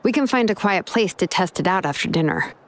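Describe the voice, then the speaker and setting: An actress voicing a calm, dry and reassuring expert craftswoman in a video game, speaking in a measured way. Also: medium pitch, close to an everyday voice.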